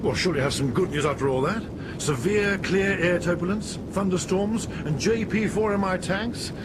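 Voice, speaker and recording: A man's voice: normal speed, close to an everyday voice. A man in a radio drama playing a weary, sardonic airline captain who grumbles and is easily exasperated. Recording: rumbling noisy old radio broadcast.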